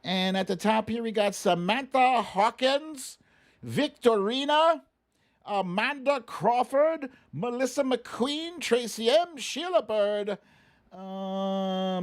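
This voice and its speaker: A middle-aged man hosting a live stream, chatting casually and playfully as he runs a guessing game and reads out names. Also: very high voice. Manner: playful, hums and recites lyrics. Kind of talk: casual chat, running a song guessing game and reading out names.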